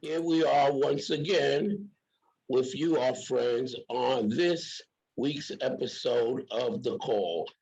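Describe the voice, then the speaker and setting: A man's voice: mid-range voice, slow pace, creaky, a little mellow, breathy edge, lo-fi sound. A man hosting an interview program in casual talk, with emphatic, repetitive rhetorical phrasing. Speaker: program host.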